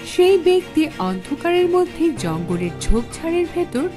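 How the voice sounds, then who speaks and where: A woman's voice: very high-pitched, sing-song, moderate pace. A woman narrating a scripted horror story in a dramatic style. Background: background music.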